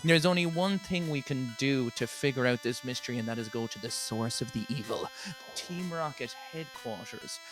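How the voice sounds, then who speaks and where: A man's voice: mid-range voice, moderate pace. A man co-hosting a podcast, talking in a jokey, sweary, casual way and playfully telling the story of a game playthrough. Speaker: podcast co-host. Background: clear background music.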